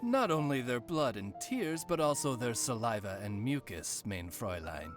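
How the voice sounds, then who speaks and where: An actor voicing a dignified raven servant in a video game, formal, courteous and deadpan, like a long-suffering butler. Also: male voice, mid-range voice, normal speed, over music, close to an everyday voice. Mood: wry, polite. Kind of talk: video game character voice lines.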